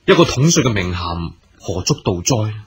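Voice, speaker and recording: An earnest imperial commander in a period radio drama. His voice is rather low and heavily husky. He speaks Hong Kong Cantonese with formal, gracious courtesy and loyal gravity. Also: very animated, normal speed, full character voice. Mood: solemn, devoted.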